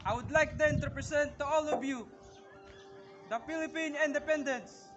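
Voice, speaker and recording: A man's voice: very high-pitched, steady tone, normal speed, highs cut off, music in the background. A young man with a Filipino accent playing a solemn, proud revolutionary general in an amateur historical drama. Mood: proud, rousing. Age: young adult. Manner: solemn, declamatory.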